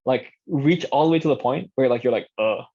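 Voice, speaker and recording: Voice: medium pitch, a little fast, mellow. An earnest guest speaker talking casually in a workshop, restarting phrases and often saying "like", over a dull online call. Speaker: guest speaker, audio drama creator.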